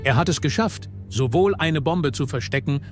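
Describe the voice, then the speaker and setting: A man's voice: a little high, normal speed, soft background music. A man narrating an audio drama from a script.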